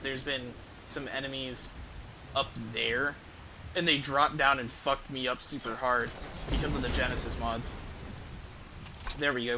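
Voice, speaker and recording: A man's voice: mid-range voice, noisy background. A man giving casual, rambling gameplay commentary in a hesitant, profanity-prone way. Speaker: game streamer.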